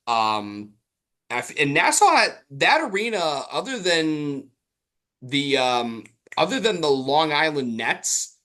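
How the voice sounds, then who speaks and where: A man's voice: a little high, very animated, takes it slowly, a bit bright. A man co-hosting a sports podcast, chatting casually about basketball and thinking aloud in a hesitant way.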